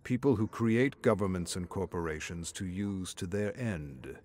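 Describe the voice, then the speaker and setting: Man voicing a grave, low-pitched middle-aged informant. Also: a little slow, a little mellow. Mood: grave warning. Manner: grave, cautioning. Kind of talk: audiobook character acting.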